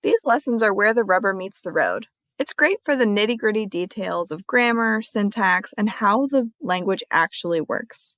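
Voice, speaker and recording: A woman librarian walking viewers through a screen-recorded tutorial in a clear, friendly explaining manner. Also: mid-range voice, animated, moderate pace, fairly bright tone, dry recording.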